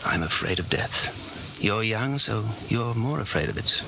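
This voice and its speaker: Weary, haunted lover, lowish voice, brooding, 1940s radio drama, clearly noisy vintage recording. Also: male voice, animated, unhurried. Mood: resigned. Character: weary, fatalistic man in a secret love affair, haunted.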